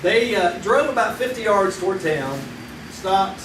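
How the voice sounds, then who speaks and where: A grown man tells an old childhood story in a folksy, easy way. His voice sits fairly high. He sounds distant in a roomy hall with steady audience noise. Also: a little slow. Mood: nostalgic. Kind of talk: live radio play on stage. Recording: echoey stage recording from far off, with audience noise.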